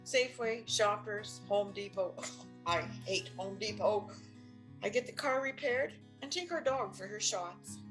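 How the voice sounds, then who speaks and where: A woman's voice: mid-range voice, a little slow, fairly bright tone, faint music behind, natural voice, low-quality recording. An older woman playing a firm, practical, exasperated but loving wife in an audio play, matter-of-fact and insistent. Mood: wry. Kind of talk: audio play stage acting.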